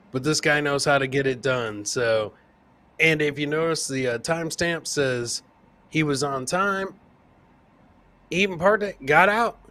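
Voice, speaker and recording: Bright, punchy male podcast host. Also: fairly high pitch. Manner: repeats phrases for emphasis. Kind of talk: casual live comedy clip commentary.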